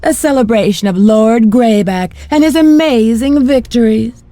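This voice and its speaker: An actress playing a mocking, cruel seductress villain in a video game, with sultry, teasing delivery. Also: female voice, fairly high pitch, moderate pace, warm tone, dry recording, soft background music, lightly acted. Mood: mock innocence. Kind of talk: dramatic video game acting.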